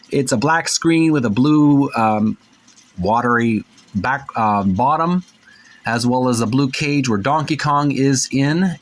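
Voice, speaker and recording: A man's voice: mid-range voice, a bit bright. Rambling, folksy man hosting casually. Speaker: host of a retro gaming channel. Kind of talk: casual show-and-tell commentary, reading book captions aloud.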